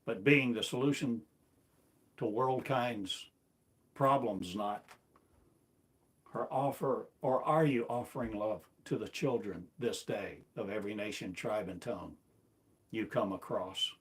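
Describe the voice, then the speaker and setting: A man's voice: on the low side, a little slow, long pauses. A man delivering a rambling, self-recorded sermon, asking one repetitive, incantatory rhetorical question after another. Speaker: self-styled preacher.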